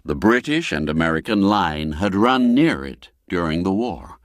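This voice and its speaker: A man reading a classic folk mystery tale as a storyteller, in a measured and expressive way. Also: low voice, dry recording, studio quality. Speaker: story narrator.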